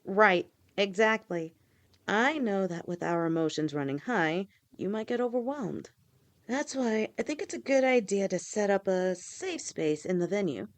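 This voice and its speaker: A caring snake-woman girlfriend, played by a young woman in an audio roleplay. She talks softly and reassuringly to her partner, patient and protective, in a mid-pitched voice. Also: animated, a little slow, natural voice. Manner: soft, reassuring, speaks directly to a partner.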